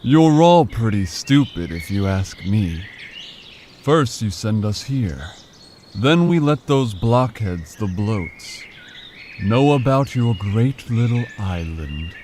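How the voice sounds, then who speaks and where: Slow, low-voiced smug villain played by a man. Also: lively intonation, darkish tone. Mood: smug, taunting. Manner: slow, taunting, mock-polite. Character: male alien gang leader, smug, vengeful, intimidating fleen. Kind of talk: audio drama acting. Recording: faint background noise.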